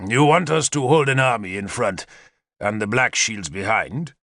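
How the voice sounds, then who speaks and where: A man's voice: lowish voice, lively intonation, normal speed, fairly bright tone, no echo, clean studio sound. A man doing a terse, heavily accented commander. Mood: blunt. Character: stolid foreign-accented male commander.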